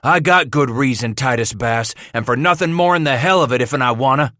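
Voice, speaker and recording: A man's voice: mid-range voice, moderate pace, fairly bright tone, dry recording, clean studio sound, voice pushed up, natural voice. A man voicing a big, hot-tempered frontier trapper in an audiobook, growling in rustic dialect. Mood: angry, menacing.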